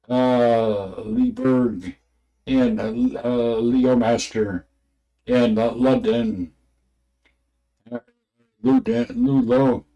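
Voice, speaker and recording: A man reading an old religious text aloud in a halting way, stumbling over words and names. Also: medium pitch, vocal fry, warm tone, rough recording.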